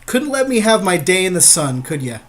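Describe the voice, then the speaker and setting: A man with a slightly high-pitched voice talks strategy in an easy, conversational way. He sounds like a podcast co-host weighing each option with a partner while they play a card game. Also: very animated, fairly quick, fairly bright tone.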